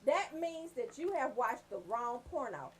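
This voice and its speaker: A woman with a Southern Atlanta accent hosting comedic radio banter, telling stories in an animated, emphatic way. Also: high-pitched, a little slow, a loud crowd. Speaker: radio show host.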